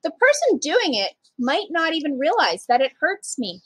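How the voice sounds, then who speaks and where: High, lively woman reading aloud to children. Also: normal speed, no echo, rough recording. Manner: simple child-directed phrasing, addresses listeners directly. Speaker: children's storytime reader. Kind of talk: read-aloud to young children.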